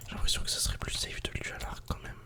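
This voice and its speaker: A man whispers a calm, casual ASMR game commentary in a breathy, unvoiced murmur, close to the microphone. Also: racing. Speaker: ASMR gaming video creator.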